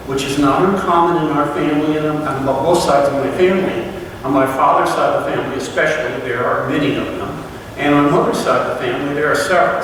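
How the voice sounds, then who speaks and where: A man's voice: a little high, fairly bright tone, a little hiss or hum. Man speaking from far off in an echoey hall. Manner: halting, searching for words, many restarts. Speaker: fellowship member giving a talk. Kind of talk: personal reflective talk.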